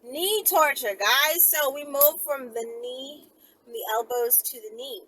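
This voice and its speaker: A woman's voice: high voice, a little slow, a bit bright. A woman vlogger chatting casually to her viewers from inside a car, informal and digressive as she thinks aloud. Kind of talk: casual rambling chat to viewers. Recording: noisy car interior, clear vehicle noise.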